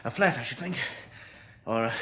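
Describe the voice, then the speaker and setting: An actor playing a capable, level-headed British man surviving a catastrophe, brisk and protective, in a vintage radio drama style. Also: medium pitch, animated, fairly bright tone, breathy edge. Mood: brisk, practical. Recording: noisy old radio recording.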